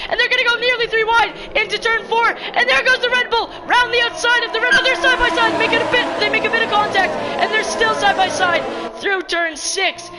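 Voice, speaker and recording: A woman commentating a sim race live, excitable and slightly hoarse from losing her voice. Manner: excitable, rising pitch in close battles. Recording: loud crowd and engine noise behind. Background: race car engines and crowd ambience.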